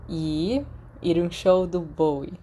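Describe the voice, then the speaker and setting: A man's voice: a little high, slow, mellow, a little hiss or hum. A woman voicing a carefree, dreamy and mischievous teenage wizard boy with a breezy, casual manner in an audiobook. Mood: dreamy, eager. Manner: breezy, casual, dismissive of worries.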